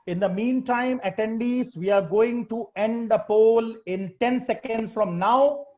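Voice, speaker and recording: A webinar moderator counting down a poll slowly and handing over to the next speaker on a video call. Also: medium pitch, a little mellow, dull audio. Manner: slow, deliberate counting.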